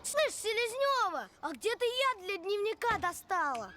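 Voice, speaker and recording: A woman voicing a cheeky, excitable schoolchild who teases others, in an animated film. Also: extremely high pitch, unhurried, slight background noise, full character voice. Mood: mocking.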